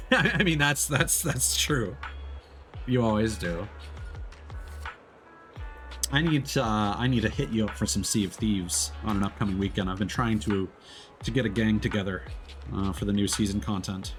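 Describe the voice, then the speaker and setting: A man with a fairly low voice chats casually as a live streamer. He is talkative but hesitant, letting phrases trail off into pauses. Music plays behind him. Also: fairly bright tone.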